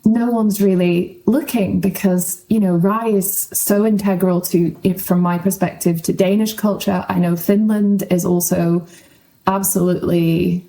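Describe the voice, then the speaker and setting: A woman's voice: mid-range voice, fairly even intonation, measured pace, gravelly, mellow, rough recording. A woman speaking as a guest journalist in a conversational podcast interview.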